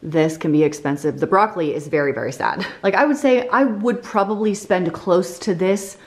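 A woman in her thirties giving a casual, candid review at home, chatty and quick to laugh at herself, filmed by a camera on the counter in her kitchen. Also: on the low side. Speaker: vlogger. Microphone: camera on a counter at medium distance. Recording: echoey kitchen room.

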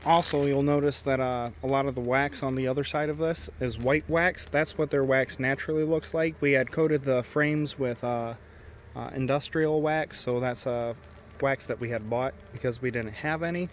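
Halting man explaining beekeeping outdoors. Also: mid-range voice, steady tone, slight background noise. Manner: halting, many uh and um fillers. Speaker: beekeeper, co-host. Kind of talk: casual explaining during a hive inspection. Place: outdoors at a backyard beehive. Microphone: handheld camera mic. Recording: outdoor take with faint bee buzz.

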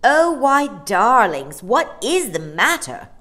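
A woman voicing a stubborn, naughty young boy in a storybook, loud and demanding. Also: fairly high pitch, sing-song, takes it slowly, voice pushed up, full character voice. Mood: falsely kind.

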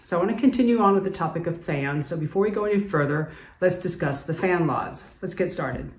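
A woman's voice: on the low side, a little fast, very noisy. A middle-aged woman who hosts and teaches an HVAC lesson, explaining equations step by step over a whiteboard. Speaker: HVAC instructor.

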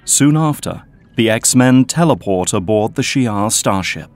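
A man giving scripted narration in a plain, steady manner for an audio drama. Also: lowish voice, unhurried, faint music behind.

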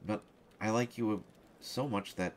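A man playing a flustered, lovestruck young journalist in an audio roleplay, speaking in halting, hesitant stammers. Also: on the low side, slight background noise, lightly acted. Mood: earnest, jealous. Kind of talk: one-sided audio roleplay acting.

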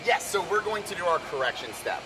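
A man's voice: sits high, expressive, a little fast, music in the background, rough recording. A man in his thirties, a boat detailing pro, giving encouraging casual instructions on polishing inside a big boat warehouse. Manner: encouraging, explains the steps. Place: large indoor boat storage warehouse.

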